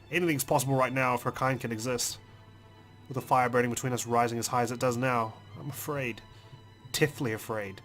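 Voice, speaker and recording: A man reading a video game's story aloud as a let's player, in an expressive, dramatic narrating style. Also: mid-range voice. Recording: music behind the voice.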